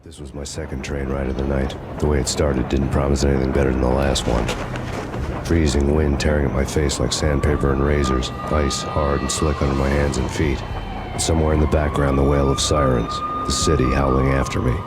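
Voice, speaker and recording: An actor playing a world-weary, sardonic renegade cop with an American accent, narrating a noir video game in a deadpan, brooding way. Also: male voice, very deep voice, fairly quick. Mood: grim, brooding. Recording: loud music under the voice.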